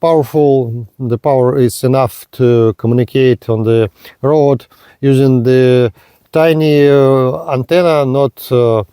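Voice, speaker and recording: A man narrating a hands-on product demonstration in halting, simple step-by-step phrases. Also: mid-range voice, steady tone, mellow, clear, resonant tone, dry recording. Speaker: product reviewer.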